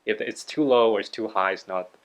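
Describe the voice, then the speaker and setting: A man hosting an interview, asking questions and sharing views in a measured, reflective way. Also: on the low side. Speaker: interviewer, channel host. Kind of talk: casual interview conversation.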